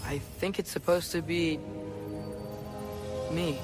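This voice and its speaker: Eager teenage boy, high youthful voice, earnest, casual phrasing, video game drama, music behind. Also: fairly even intonation, slow. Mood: uncertain.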